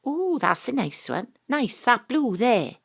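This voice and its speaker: An actress playing a wry, world-weary Welsh private investigator, narrating and talking in an audio drama. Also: female voice, fairly high pitch, sing-song, slow, vocal fry, breathy edge, heavily acted. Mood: chatty. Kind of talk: first-person audio drama narration and dialogue.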